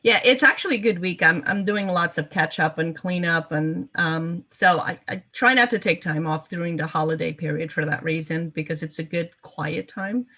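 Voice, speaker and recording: A woman's voice: fairly low pitch, normal speed, hardly any pauses, sharp tone. A woman in her fifties, from Portugal and a native Portuguese speaker, telling her life story as an interview guest with frequent ums and repeated words. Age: 50s.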